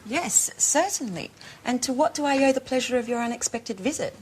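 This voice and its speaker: An actress playing a reserved, loyal professional woman, formal, measured and politely resistant in scripted dialogue. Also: high voice, lively intonation, a little hiss or hum, poor audio. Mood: cool, polite. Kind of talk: acted dialogue, scripted business drama.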